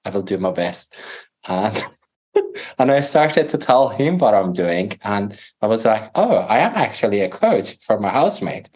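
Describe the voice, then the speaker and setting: A man's voice: fairly low pitch, measured pace. Man telling his story. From Iran. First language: Farsi. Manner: animated storytelling, acts out his own past dialogue, laughs often. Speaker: podcast guest. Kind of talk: conversational interview.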